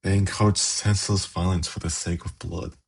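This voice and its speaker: A man playing a suave, haughty demon prince in an ASMR fantasy roleplay, speaking in a measured, formal, silkily condescending way with a gravelly, husky voice. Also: low voice, flat delivery, heavily acted. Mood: scornful.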